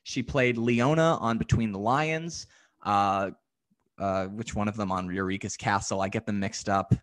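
A man's voice: lowish voice, a little slow, a bit bright. Young man hosting a nostalgia podcast. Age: young adult. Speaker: podcast host. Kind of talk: casual interview chat.

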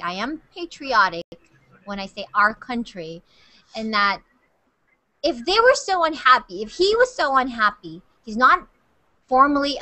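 A woman in her thirties, a news anchor, asking animated, challenging questions in a casual panel discussion in a TV studio. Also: mid-range voice, no room sound, poor audio.

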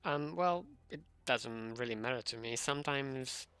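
A man's voice: mid-range voice, a little slow, bright, forceful tone, slight background noise. Easygoing young man gaming. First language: Hungarian. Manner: easygoing, chatty. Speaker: streamer. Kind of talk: casual gaming chat. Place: home room, indoors.

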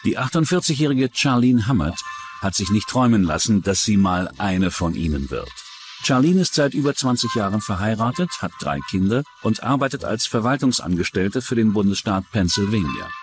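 A man's voice: on the low side, big pitch swings, a little fast. A man reading scripted true-crime documentary narration. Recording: music bed under the voice. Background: music.

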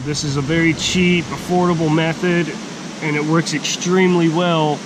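A middle-aged man giving a casual, plain-spoken outdoor gear walkthrough in a forest by a stream, speaking slowly with halting pauses. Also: a little high. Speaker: hiking vlogger. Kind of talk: casual gear show-and-tell. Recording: steady outdoor background hiss.

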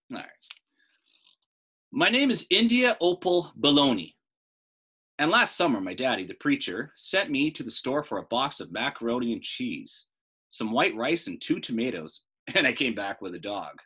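A man in his thirties reading a children's book aloud as a storyteller, in an animated style. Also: mid-range voice, moderate pace, breathy edge.

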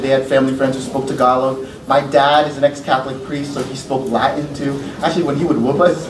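Joking man at a lectern, roomy sound. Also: on the high side. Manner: loose, joking, profane between poems. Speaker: poet. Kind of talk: poetry reading with casual asides. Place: lecture room, standing at a lectern. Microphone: podium mic from a far camera. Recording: roomy, distant, noisy room sound.

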